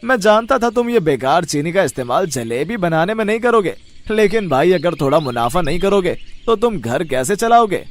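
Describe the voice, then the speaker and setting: A man's voice: high voice, big pitch swings, moderate pace. A man voicing a friendly, persuasive grocery shopkeeper in a moral-story cartoon, chummy and coaxing.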